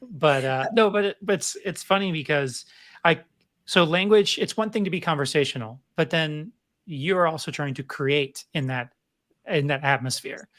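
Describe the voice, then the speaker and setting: A man with an American accent, talking casually and joking in a relaxed panel chat. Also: on the high side, normal speed. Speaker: guest creator.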